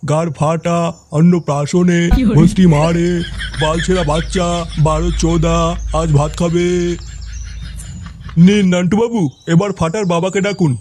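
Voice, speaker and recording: A man's voice: fairly high pitch, fairly even intonation, a little slow, a little mellow, close to an everyday voice. Guy doing sweary cartoon priest, jokey mock ritual delivery, faint noise and clicks. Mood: officiating. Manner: foul-mouthed, mock chanting. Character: crude, jokey cartoon priest officiating at ceremonies.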